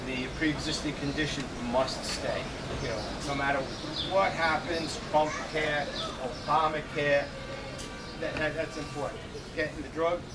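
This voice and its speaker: A man's voice: a little high, very noisy. A man answering questions as a political candidate at an informal meeting, speaking colloquially and repeating himself. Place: public meeting, recorded at a distance. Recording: far from the mic, loud music behind.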